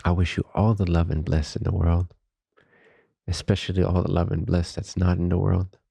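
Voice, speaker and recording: A man leading a guided meditation as a teacher, speaking softly, slowly and calmly in reverent phrases with long pauses. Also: low-pitched, gravelly, breathy edge, no echo. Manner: calm, unhurried, reverent spiritual phrasing.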